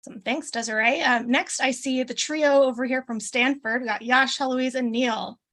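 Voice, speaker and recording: A woman's voice: high voice, steady tone, moderate pace, fairly bright tone. A woman hosting an online panel over a video call, chatty and loose, with lots of ums and restarts. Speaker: host of an online alumni panel. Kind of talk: casual live-stream hosting.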